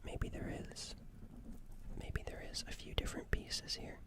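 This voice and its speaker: A woman voicing a gentle, flustered alien nurse in an ASMR roleplay, speaking soothingly and hesitantly and softly repeating her phrases. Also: a little slow, lightly acted. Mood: concerned, careful.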